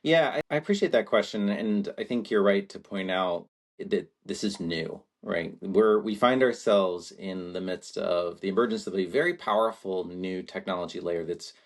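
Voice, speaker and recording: A man's voice: low-pitched, normal speed. A man in his forties, a podcast guest who grew up in Latvia, talking in a thoughtful, rambling way and often checking in with a tag question. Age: 40s. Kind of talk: reflective conversation.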